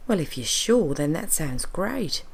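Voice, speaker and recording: A friendly female elephant keeper from a children's storybook, voiced by a woman narrator. She is caring and chatty, with polite manners and a slow, easy pace. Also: deep voice, big pitch swings, vocal fry, exaggerated voice. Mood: politely concerned.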